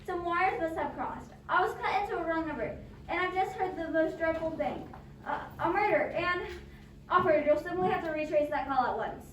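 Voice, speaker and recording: Woman acting very high-pitched, nervous, demanding wife. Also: a bit bright. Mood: horrified. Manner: agitated, halting, insistent. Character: nervous, imperious, demanding invalid wife on the telephone. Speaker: amateur drama club actor. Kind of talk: radio play acting. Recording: echoey, distant, noisy amateur recording.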